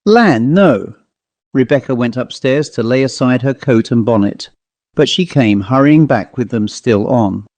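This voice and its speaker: Guy narrating an old spooky story, measured but expressive, mid pitch, really dry audio. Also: no room sound. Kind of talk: scripted literary narration of a ghost story.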